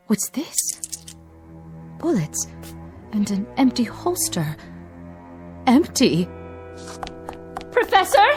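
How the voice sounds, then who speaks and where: An actress playing a kindly, anxious housekeeper in a radio drama, tender and deferential in manner. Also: female voice, on the high side, huge pitch swings, very slow, long pauses, a little mellow, faint music behind, heavily acted. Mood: alarmed. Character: devoted, kindly, anxious woman housekeeper to a professor.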